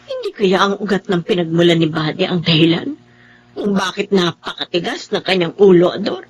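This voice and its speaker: A woman's voice: on the low side, sing-song, fairly quick, dull audio, a little hiss or hum. A woman acting a regretful, worried, pious older woman in a Filipino radio drama, sighing and earnest.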